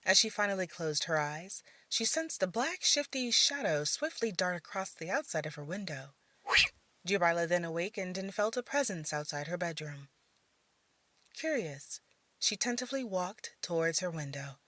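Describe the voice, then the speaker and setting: A woman storyteller reading scripted fiction narration in a measured, theatrical manner. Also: on the low side, animated, bright, forceful tone, dry recording.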